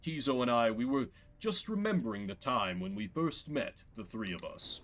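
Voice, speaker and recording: A man voicing a courteous, fatherly older male leader in a fantasy game, speaking formally and with measured eloquence. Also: medium pitch, very animated, fairly bright tone. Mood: fond.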